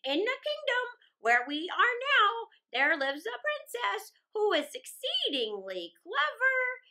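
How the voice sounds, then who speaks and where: A woman's voice: very high voice, wildly swooping pitch, takes it slowly, sharp tone, pitched above the performer's own voice, full character voice. A woman voicing a large, kindly, gossipy crow in a storybook reading, with squawks and emphatic phrasing.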